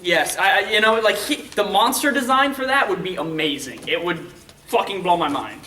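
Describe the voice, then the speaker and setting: A man in a casual group chat, chiming in haltingly with plenty of swearing. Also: high-pitched, animated, sharp tone. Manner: halting, profane interjections. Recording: echoey room, distant mic, background noise.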